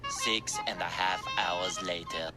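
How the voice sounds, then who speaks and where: A man's voice: low-pitched, fairly even intonation, takes it slowly, sharp tone, no echo, studio quality, soft background music. A man narrating a short scripted title card.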